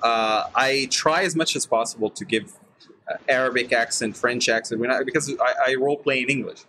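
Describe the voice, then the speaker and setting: A man hosting a casual group chat about role-playing, chatty and quick to laugh. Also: mid-range voice, bright, forceful tone, slight background noise, rough recording. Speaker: podcast host.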